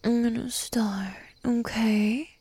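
A woman whispering close to the mic in an adult roleplay, dominant and teasing, giving commands in a breathy whisper. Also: a little high, steady tone, takes it slowly, mellow.

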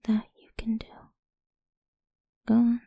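A woman whispering a slow, doting and teasing ASMR roleplay in a breathy voice. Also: a little high, monotone, dark tone, no room sound, clean studio sound.

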